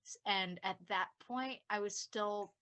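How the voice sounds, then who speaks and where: A woman's voice: medium pitch, a little slow, fairly bright tone. A young woman chatting casually and self-deprecatingly as a podcast writer in a group discussion. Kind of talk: casual post-show group chat.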